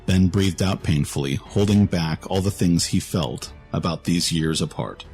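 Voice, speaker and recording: Male narrator, very low pitch, dramatic scripted fantasy storytelling, soft music behind. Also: a little slow.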